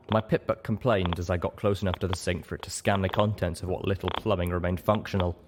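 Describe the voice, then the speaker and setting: Man, deep pitch, scripted story narration, audiobook reader, faint background noise.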